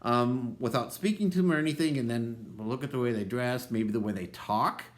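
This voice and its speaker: A man's voice: mid-range voice, brisk. A middle-aged man with a Minnesota accent, an associate pastor giving a casual devotional talk, full of ums and restarts. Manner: relaxed, conversational, many ums and restarts.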